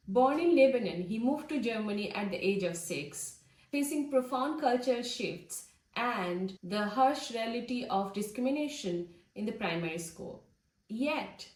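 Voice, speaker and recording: A woman hosting a podcast, reading an introduction and asking questions in an earnest, measured way. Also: mid-range voice, roomy sound, rough recording. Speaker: podcast host. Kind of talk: scripted intro then interview questions.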